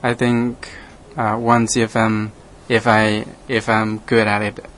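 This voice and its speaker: A man from Laos, a native Lao speaker, talks slowly in an even, flat tone. He restarts his sentences often and laughs now and then. A faint hiss sits under the recording. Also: fairly low pitch. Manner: halting, hesitant, frequent restarts, laughs often. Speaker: radio program host, monk. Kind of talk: casual conversation in English.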